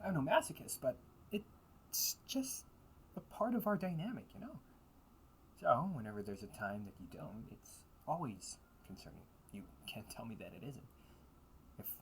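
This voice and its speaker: A man playing a teasing but protective older brother in an intimate audio roleplay, talking casually and earnestly with halting pauses. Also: medium pitch, slight background noise. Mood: teasing concern, then playful, reassuring. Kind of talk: intimate audio roleplay monologue addressed to listener.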